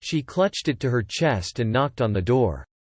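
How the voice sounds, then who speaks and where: A man narrating fiction from a script as an audiobook narrator, in a measured, descriptive way. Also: medium pitch, sing-song, no room sound.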